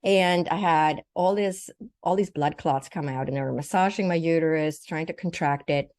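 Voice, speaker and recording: An older woman who grew up in Sweden recounts a personal experience in an interview. Her voice sits a little low, and the sound is dry, with no room echo. She tells things step by step in vivid detail, acting out what people said. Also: normal speed, a little mellow. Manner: vivid, detailed telling with acted-out quotes. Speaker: guest, naturopathic doctor and spiritual teacher.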